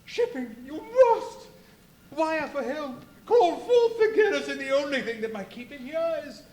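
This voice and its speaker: An actor in a live radio play playing an anxious, alarmed doctor, with an agitated, stammering, pleading manner, in an echoey venue. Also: male voice, very high-pitched, animated, a little slow, darkish tone. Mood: alarmed, urgent. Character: anxious, alarmed male doctor, horrified by a madman. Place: live performance in a brewery venue.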